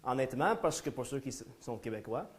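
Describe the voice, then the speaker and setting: A man's voice: medium pitch, animated, a little fast. A man from Ontario whose first language is English, giving a live conference talk in French with hesitant, self-deprecating humor and many fillers and restarts. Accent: English-speaking Ontarian speaking French. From Ontario, Canada. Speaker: lecturer. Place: lecture room before a small audience.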